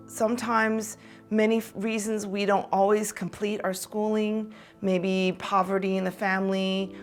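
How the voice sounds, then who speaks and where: A woman raised in Taiwan speaks earnestly in a measured, mid-pitched voice. She often pauses and restarts with small fillers. Soft music plays faintly behind her. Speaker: optometrist speaking on women's empowerment. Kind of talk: prepared talk to camera.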